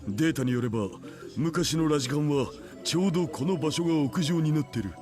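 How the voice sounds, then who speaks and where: A man's voice: fairly high pitch, very fast, darkish tone, natural voice. An actor voicing a grown man, a practical scientist father, in a visual novel, explaining technical matters matter-of-factly. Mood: serious, focused. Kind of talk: Japanese visual novel voice acting. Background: game music.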